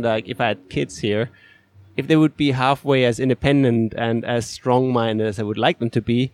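Male interviewer, mid-pitched voice, measured thoughtful questions, dry close mic. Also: a little mellow, dry recording.

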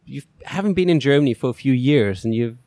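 A man interviewing a guest, asking measured, thoughtful questions in a conversational way. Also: medium pitch, animated, dark tone, dry recording.

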